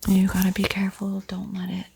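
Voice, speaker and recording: A woman whispering an ASMR painting tutorial in a breathy, soothing, gently encouraging way. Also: medium pitch, monotone, a little fast. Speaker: art tutorial host.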